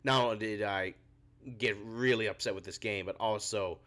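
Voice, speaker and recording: A man's voice: on the low side, steady tone, moderate pace, a bit bright. A young man hosting a postgame sports reaction show, fighting a cold and sounding a bit scratchy, ranting in frustration. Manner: frustrated ranting, rising when angry. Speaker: podcast host.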